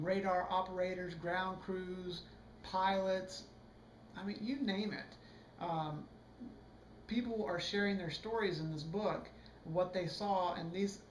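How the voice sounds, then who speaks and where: Man, hoarse, high voice, rambling book review, echoey room. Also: slight background noise. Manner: rambling, many fillers and restarts. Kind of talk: casual video book review.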